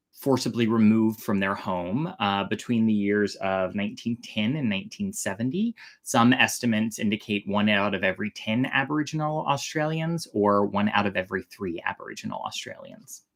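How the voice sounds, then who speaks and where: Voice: mid-range voice, a bit bright. A storyteller hosting a show, chatting informally to viewers and explaining from notes with loose, hedging phrasing. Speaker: host and storyteller. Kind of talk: casual explanatory chat to viewers, reading from notes.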